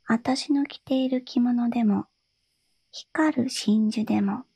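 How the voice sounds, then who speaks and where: A woman voicing a tearful, pleading young princess in a soft whisper for a storybook reading. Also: fairly high pitch, a little slow, gravelly, slightly rough, no room sound, exaggerated voice. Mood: coaxing.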